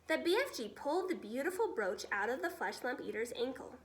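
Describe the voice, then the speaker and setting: High-voiced, animated woman reading a storybook. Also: brisk. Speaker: storybook reader. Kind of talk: expressive scripted narration for children.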